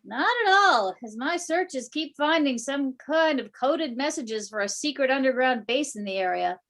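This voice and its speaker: Woman doing a geeky conspiracy nut researcher, high voice, wisecracks, amateur script read. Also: expressive, moderate pace, a bit bright. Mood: intrigued. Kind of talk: amateur readers theater reading.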